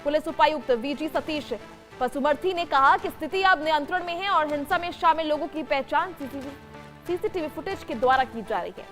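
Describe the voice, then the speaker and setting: A woman's voice: high voice, fairly quick, fairly bright tone. A woman news anchor narrating a breaking report in an emphatic, formal broadcast delivery. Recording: music bed under the voice.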